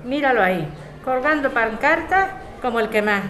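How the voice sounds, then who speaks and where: A woman's voice: fairly high pitch, animated, moderate pace, fairly bright tone, faint music behind. A woman playing a practical adult daughter, matter-of-fact and conversational in an audio drama. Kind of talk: scripted amateur radio drama. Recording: chattering crowd behind the voice. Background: crowd chatter.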